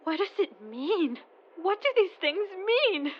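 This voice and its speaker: An actress playing a distraught, fanatical woman preacher in an audio drama, lamenting in halting, repetitive sermon phrases. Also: very high-pitched, sing-song, highs cut off, exaggerated voice. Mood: anguished lament. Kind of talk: modern audio drama acting.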